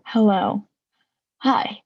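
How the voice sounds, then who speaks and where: Woman cohost, casual and eager, a little husky, dull lo-fi mic with a dry sound. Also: medium pitch, no room sound. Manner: eager, chatty, quick to agree. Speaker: podcast cohost. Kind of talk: casual chat.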